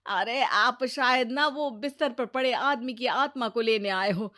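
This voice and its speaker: High-pitched, dramatic, fussy old mother-in-law played by a woman. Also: unhurried, pushed and bright. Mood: puzzled. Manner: theatrical, fussy, protesting. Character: elderly suspicious, boastful, dramatic mother-in-law. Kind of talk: Hindi cartoon storytelling dub.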